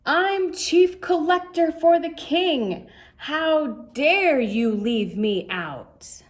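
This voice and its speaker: A woman's voice: high voice, expressive, extremely slow, pitched above the performer's own voice, exaggerated voice. A woman voicing a small, eager and pushy rich male tax collector in a children's storybook, in an exclaiming way. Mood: indignant. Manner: pleading, indignant, exclaiming. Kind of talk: storybook character voice.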